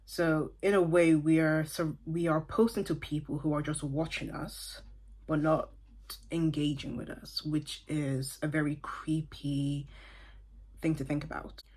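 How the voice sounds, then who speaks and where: Low-voiced young woman from Dublin. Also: fairly even intonation, unhurried, a little mellow, husky. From Ireland (Dublin). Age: 20s. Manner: chatty, thoughtful, with asides. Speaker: book vlogger. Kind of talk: casual book review talk.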